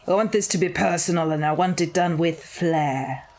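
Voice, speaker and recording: A woman voicing a stern, commanding male devil in an audio story, imperious and deliberate, over guitar music. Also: on the low side, a little mellow, lightly acted. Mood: cold command.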